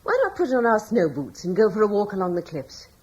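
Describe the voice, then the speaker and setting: An actress playing a gentle, softly persuasive wife in a radio drama. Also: female voice, medium pitch, sing-song, a little fast, slightly rough, dull audio.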